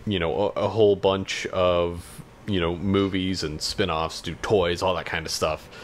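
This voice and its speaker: A man's voice: low-pitched, steady tone, measured pace, slight background noise. A man co-hosting a podcast in casual chat, matter-of-fact and questioning, feeling his way through sentences. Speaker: podcast co-host.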